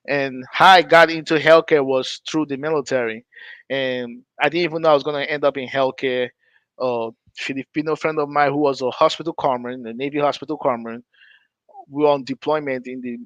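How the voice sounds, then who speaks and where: A man's voice: mid-range voice, fairly bright tone, dry recording, poor audio. A middle-aged man from Nigeria, hosting a podcast and telling his own story in a loose, rambling way, often correcting himself mid-sentence. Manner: rambling, self-correcting, laughs between points. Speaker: podcast host. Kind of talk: casual storytelling chat.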